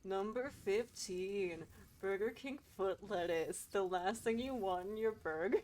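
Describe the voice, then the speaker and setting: A woman's voice: medium pitch, takes it slowly, a little mellow, a little hiss or hum. A woman doing casual, joking, foul-mouthed commentary as a game streamer.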